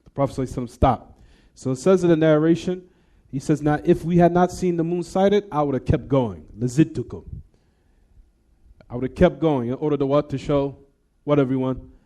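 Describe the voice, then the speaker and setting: Man, religious teacher, quick delivery, mellow dark voice, quizzes the class. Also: on the high side. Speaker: Islamic teacher. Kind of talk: classroom hadith lesson.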